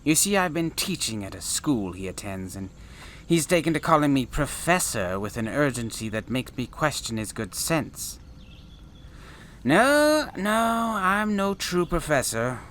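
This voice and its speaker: Male actor as eccentric space professor, insistent and grandiose, very lively intonation, forceful bright voice, sci-fi audio drama. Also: a little high, measured pace, a little hiss or hum. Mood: flustered, modest. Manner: theatrical, exclamatory, wordy and formal.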